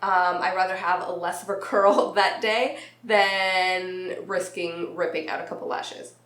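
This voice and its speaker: A young woman talks to her camera in a chatty, easygoing way, laughing now and then between sentences. Her voice sits a bit low for a woman and sounds a little nasal and raspy as she gets over an illness. The recording has a noticeably roomy echo. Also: steady tone, measured pace, a bit bright. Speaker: beauty vlogger. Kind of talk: casual talk to camera.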